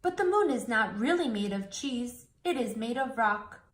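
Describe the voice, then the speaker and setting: A woman reading a children's storybook aloud, slowly, clearly and expressively. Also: a little high, animated. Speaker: storybook reader.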